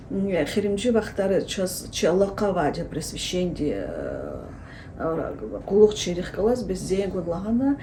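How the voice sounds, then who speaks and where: A woman teacher answering interview questions at length, explaining steadily with many pauses and filler sounds. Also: fairly low pitch, mellow, a little hiss or hum, poor audio. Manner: explanatory, hesitant with frequent fillers. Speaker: Lezgian language teacher and researcher.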